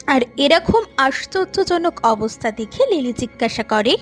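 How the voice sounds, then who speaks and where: A woman's voice: high voice, lively intonation, unhurried. A woman narrating a scripted story as the storyteller. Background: soft music.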